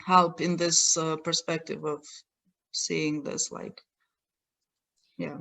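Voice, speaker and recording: Hesitant woman from Kosovo, evenly pitched. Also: level tone, takes it slowly, vocal fry, fairly bright tone, rough recording. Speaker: artist on a panel. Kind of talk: hesitant webinar answer. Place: online video call.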